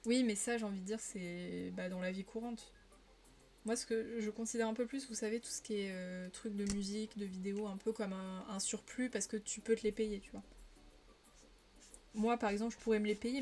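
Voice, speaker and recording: A woman's voice: mid-range voice, fairly even intonation, fairly quick, darkish tone. A young woman from the Paris region chatting casually on a live stream while she colors, often laughing and answering viewers' messages. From Paris region, France.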